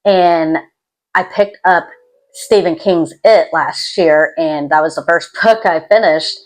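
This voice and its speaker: A woman's voice: on the low side, slow pace, low-quality recording. A woman talking casually to camera as a YouTube host, in an introverted, self-deprecating and rambling way. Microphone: external microphone.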